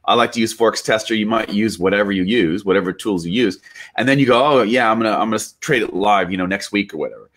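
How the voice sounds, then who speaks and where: A man getting over a cold, hosting a relaxed instructional talk on trading, explaining step by step with a few fillers and restarts. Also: on the low side. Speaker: trading educator and channel host. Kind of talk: casual instructional talk to camera.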